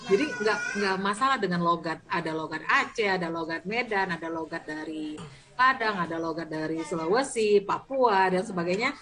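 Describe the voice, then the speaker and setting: A woman's voice: fairly low pitch, moderate pace. Teasing woman examiner on a video call. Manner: teasing, lively, laughs often. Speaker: examiner, lecturer. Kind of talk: online exam interview.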